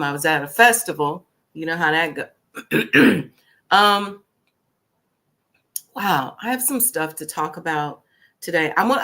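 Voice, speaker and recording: A woman hosting a casual talk stream, her voice deepened by a cold, talking slowly with many pauses and ums. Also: sharp tone. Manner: slow, drawn-out, many ums. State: deep voice from a cold. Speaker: host.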